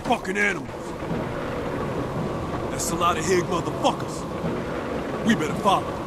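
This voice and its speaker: An actor playing a gruff, profane soldier barking orders in a video game cutscene. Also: male voice, high-pitched, moderate pace, heavy background noise. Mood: angry, frustrated. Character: gruff, foul-mouthed male soldier, urgent and battle-hardened.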